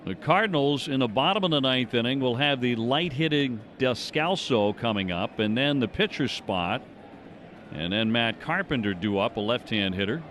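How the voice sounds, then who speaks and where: A man's voice: mid-range voice, normal speed. Male baseball radio announcer over stadium noise. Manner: descriptive, clipped phrases that follow the action. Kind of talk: live play-by-play commentary. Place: ballpark broadcast position. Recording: noisy outdoor stadium ambience. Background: steady outdoor stadium noise.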